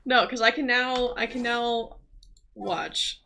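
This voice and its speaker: A woman giving casual, rambling commentary on a live gaming stream, thinking aloud in an excitable, giggly way. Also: fairly high pitch, takes it slowly, vocal fry. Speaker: livestreamer playing a role-playing game.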